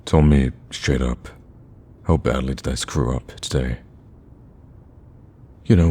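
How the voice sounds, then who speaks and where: A man playing a lovestruck villain in an ASMR romance roleplay, awkward yet cocky, speaking softly and intimately with hesitant stammers. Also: rumbling low, breathy edge, heavily acted. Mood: anxious, apologetic.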